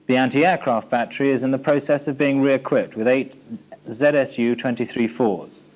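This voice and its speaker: A man's voice: medium pitch, moderate pace, darkish tone. Formal British male military film narrator. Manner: formal, matter-of-fact. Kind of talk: scripted instructional narration. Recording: 1980s archive training film soundtrack.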